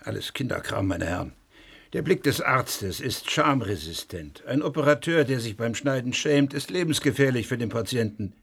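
An actor playing a detached doctor with a clinical, dismissive manner. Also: male voice, medium pitch, animated, normal speed, creaky, fairly bright tone, breathy edge, exaggerated voice. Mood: cool. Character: cold, detached male doctor. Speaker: physician.

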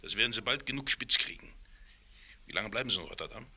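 Lowish voice, very forceful sharp tone, wary loyal sidekick, urgent colloquial delivery, 1970s radio play. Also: male voice, animated, quick pace, a little hiss or hum, heavily acted. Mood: worried, urgent. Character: wary, streetwise fixer, blunt and loyally worried. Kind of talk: 1970s radio drama acting.

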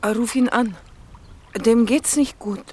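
A woman's voice: a little high, slow pace, noisy background. A woman playing an anxious, earnest young woman in love in a German radio play, emotional in manner. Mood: anxious, pleading.

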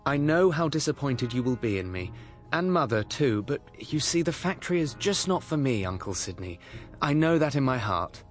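An actor playing an earnest, dreamy young would-be composer in a radio drama, fervent and self-deprecating. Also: male voice, medium pitch, very animated, normal speed, soft background music, natural voice. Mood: apologetic. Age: young adult.

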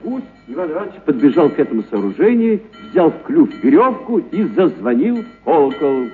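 A man narrating a literary story for radio in an expressive, dramatic actor's delivery. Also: a little high, brisk, faint music behind. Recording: muffled narrow-band radio recording.

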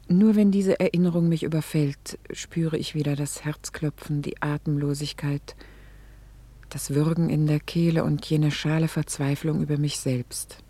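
A middle-aged wife in an intimate radio play, soft, dark and husky in a dry, close recording. She is reflective and a little vain, confiding her self-reproach with hesitant pauses that sink toward a whisper. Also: low-pitched, no room sound. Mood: haunted, confiding.

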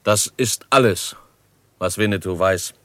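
A proud, grave chief in a radio western. He speaks slowly and formally in a low-set yet sharp, forceful voice. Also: dry recording. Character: Winnetou, proud dignified Apache chief. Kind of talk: German radio drama acting.

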